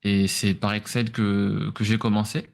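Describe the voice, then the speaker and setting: A man with a fairly low voice and an accent from France speaks as an interview guest. He explains things informally, feeling his way through sentences with some hesitation. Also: little pitch movement, a little slow, vocal fry. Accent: French from France. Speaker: podcast guest, entrepreneur. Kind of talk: conversational interview answers.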